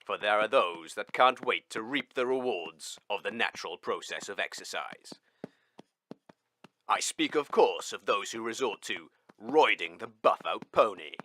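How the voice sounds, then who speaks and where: Wildly animated pompous crusading reporter. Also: male voice, fairly low pitch, a little slow, no room sound, low-quality recording. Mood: grandly solemn. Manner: grandiose, melodramatic. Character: pompous crusading male reporter dispensing justice. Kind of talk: mock-serious scripted report.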